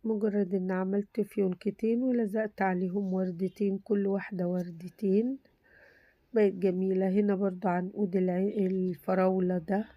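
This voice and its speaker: A woman with an Egyptian Arabic accent, hoarse from a cold, casually talking through a simple craft tutorial and reassuring viewers that it is very easy. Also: mid-range voice, little pitch movement, moderate pace, dry recording, lo-fi sound. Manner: chatty, reassuring, repeats "very easy". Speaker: crafts channel host.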